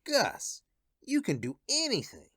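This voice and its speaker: A woman's voice: a little high, huge pitch swings, measured pace, a bit bright, slightly rough, full character voice. A woman playing a greedy, falsely chummy male gambler in a radio play, stammering and wheedling. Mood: greedy coaxing.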